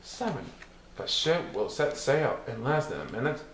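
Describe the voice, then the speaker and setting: A man's voice: medium pitch, sing-song, normal speed. A man reading a children's picture book aloud in verse, with a theatrical, rhythmic, sing-song delivery. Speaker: storybook reader. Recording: roomy echo with some background noise.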